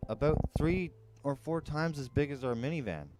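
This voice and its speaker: A man's voice: on the high side, lively intonation, moderate pace, pitched above the performer's own voice, natural voice. An uneasy teenage boy hero in an audiobook, read by a man, earnest and hesitant in his delivery. Faint music runs underneath.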